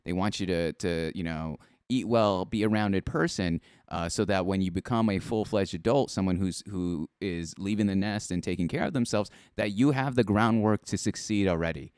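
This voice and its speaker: A man's voice: sits low, normal speed, few pauses, clean tone, no room sound, clean studio sound. A man in his twenties hosting a live-stream interview, talking energetically and speaking to the chat as he goes. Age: 20s. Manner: energetic, upbeat, addresses the chat. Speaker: host.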